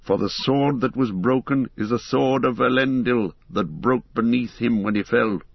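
A man reading the part of a grave, weary heir of kings, speaking calmly and earnestly. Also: medium pitch, normal speed, vocal fry, husky, dry recording, highs cut off. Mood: dignified. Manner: calm, dignified, earnest.

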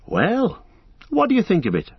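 Bossy young hobbit, high and bustling, husky, dry muffled audiobook sound. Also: male voice, normal speed, no room sound, full character voice. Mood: cheerful welcoming. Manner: lively, bustling, commanding. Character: young male hobbit Merry, brisk and bossy host. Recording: slightly muffled dry recording.

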